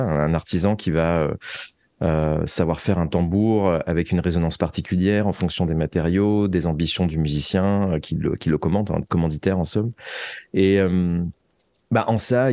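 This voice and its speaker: A man giving reflective interview answers, speaking thoughtfully and articulately with searching pauses. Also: low-pitched, dark tone, no room sound. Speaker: interview guest, fiction writer and musician.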